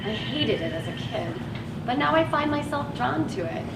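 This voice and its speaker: A woman's voice: high-pitched, expressive, normal speed, creaky, heavy background noise. A woman playing a patient but harried van driver in a stage play, placating her passengers with kind exasperation. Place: theatre stage, recorded from a distance. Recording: echoey stage, distant mic, music, audience.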